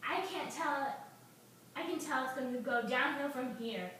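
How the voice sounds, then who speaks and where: A woman's voice: high-pitched, expressive, a little slow, fairly bright tone. A woman voicing a pompous, self-important male statesman in a puppet show, in an echoey recording. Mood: dismayed. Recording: echoey room, distant mic, faint noise.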